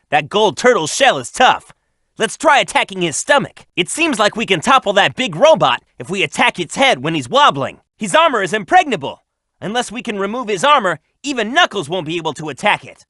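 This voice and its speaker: A young, cocky hedgehog hero for a video game. The voice sits high and swings around with lots of animation. He is brisk, upbeat and sure of himself, with a forceful, bright edge. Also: no echo, clean studio sound, heavily acted. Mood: alert, confident. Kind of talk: video game character voice acting, tutorial hints and quips.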